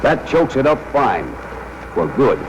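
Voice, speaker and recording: A man's voice: medium pitch, sing-song, a little slow. An American man narrating a 1940s military training film in a tough, wry, slangy manner, speaking directly to soldiers. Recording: noisy 1940s film soundtrack.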